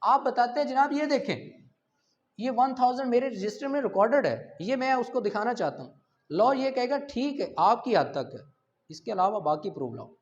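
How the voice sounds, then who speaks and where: A man's voice: very high voice, lively intonation, moderate pace. A man with a Pakistani accent giving a law lecture, reading formal text aloud and explaining it point by point. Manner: explanatory, mixing English legal terms with Urdu. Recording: dull, muffled lo-fi audio.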